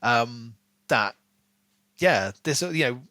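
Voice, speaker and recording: Bright-voiced man in casual book talk. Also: mid-range voice, expressive, takes it slowly, no echo, clean studio sound. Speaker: podcast co-host.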